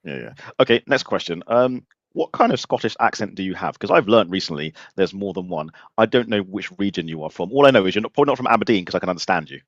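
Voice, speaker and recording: A man's voice: deep voice, expressive, quick pace, hardly any pauses, no room sound, highs cut off. A man of about forty hosting an interview, joking and teasing in loose, informal chat. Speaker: podcast host.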